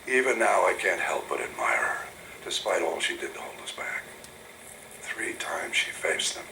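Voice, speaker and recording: Low, echoing, coldly reverent android. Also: male voice, expressive, moderate pace, sharp tone, in a whisper, heavily acted. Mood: cold admiration. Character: cold, reverent android scientist, centuries old, admiring monsters. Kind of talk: scripted video game audio log.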